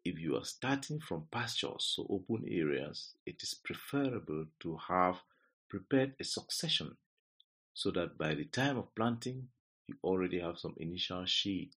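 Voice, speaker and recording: A Cameroonian man narrates a training lesson from a script. His voice is low and somewhat husky, with a Cameroonian accent. He reads deliberately, setting out each phrase in turn as an instructor would. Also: animated, fairly bright tone.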